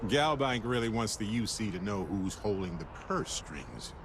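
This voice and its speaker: A man's voice: on the low side, big pitch swings, normal speed. An actor playing a wry, conversational male space-explorer companion in a video game. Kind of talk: video game character acting. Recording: loud game music over the voice.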